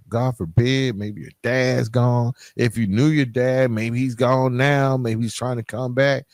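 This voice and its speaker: A man's voice: medium pitch, no echo, clean studio sound. An American man hosting a solo podcast, talking to listeners in an informal, streetwise way with emphatic repetition and rhetorical questions. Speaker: podcast host. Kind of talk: conversational solo podcast monologue addressed to listeners.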